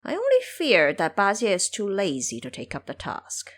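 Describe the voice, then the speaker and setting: A cocky, crafty monkey hero of an audio drama, acted by a woman. The delivery is boastful and theatrical, with pitch that leaps and dips freely as he schemes. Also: wildly swooping pitch, moderate pace. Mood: scheming. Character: cocky, scheming male monkey warrior, called great sage.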